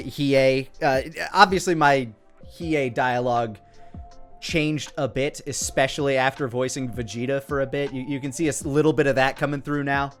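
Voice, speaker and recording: A man giving casual, self-deprecating off-the-cuff commentary on his own comedy work. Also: medium pitch. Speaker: creator commenting on own abridged anime episode.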